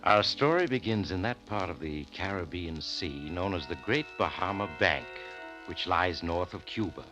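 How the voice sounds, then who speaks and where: A man playing a mysterious, suave host of eerie tales, narrating deliberately and theatrically in 1940s radio suspense style. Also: sits low, poor audio. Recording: old radio broadcast with music.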